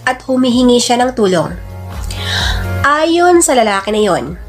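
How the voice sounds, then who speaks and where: High-voiced woman narrating a radio-style drama. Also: sing-song, a little slow. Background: background music.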